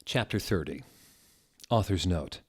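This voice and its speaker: Slow, low-pitched man reading theatrically. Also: lively intonation, breathy edge. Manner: theatrical, mock-serious, deliberate. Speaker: reader of fan fiction. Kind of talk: dramatic comic reading, scripted narration.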